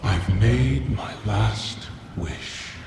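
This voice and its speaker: A man voicing a dying male dragon spirit in a video game, slow, solemn and tender, very gravelly, with an echoing creature effect. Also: exaggerated voice. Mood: fond, solemn. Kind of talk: dramatic video game voice acting. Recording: echoing voice amid loud ambient rumble.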